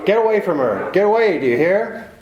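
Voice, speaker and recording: A man's voice: high voice, big pitch swings, a little fast, highs cut off, a crowd in the background. A man playing a cynical, tough private detective in a 1940s-style radio play, speaking with a dry, sardonic bite. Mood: angry. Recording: echoey room.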